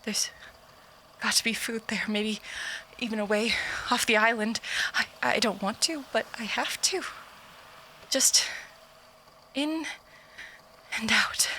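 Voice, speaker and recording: An actress playing a frightened, stranded explorer in an audio drama, speaking in a shaken, halting way. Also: female voice, fairly high pitch, sharp tone, slight background noise. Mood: fearful, resolute. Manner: shaken, halting, breathy outbursts and whispers. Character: frightened, stranded woman explorer recording a desperate log.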